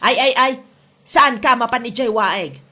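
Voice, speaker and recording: A woman's voice: on the high side, a little slow, bright, forceful tone. A woman playing a bossy, scolding mother in a comedy drama.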